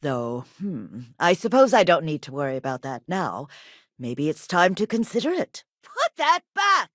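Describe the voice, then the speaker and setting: A woman's voice: fairly low pitch, sing-song, moderate pace, a bit bright, no echo, studio quality. An actress playing an earnest, gracious woman historian and teacher in a video game, articulate and polite. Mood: alarmed, shouting. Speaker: video game character voice actor. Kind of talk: scripted game character dialogue.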